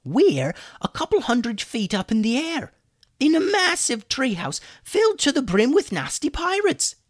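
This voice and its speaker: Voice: high voice, huge pitch swings, a little slow, no echo, clean studio sound, voice pushed up, full character voice. A storyteller voicing a weary, sarcastic chicken wizard for a children's story. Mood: exasperated. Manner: sarcastic, exasperated. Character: mocking, weary chicken wizard prisoner with human hands.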